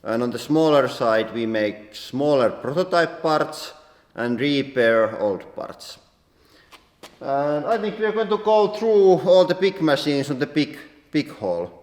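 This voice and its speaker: Finnish-accented man, non-native English, halting and casual; echoey hall with long reverb. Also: on the high side, sing-song, a little mellow. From Finland. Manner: plain halting English with many "like" fillers. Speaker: workshop owner and channel host. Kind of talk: casual workshop tour. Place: large industrial workshop hall.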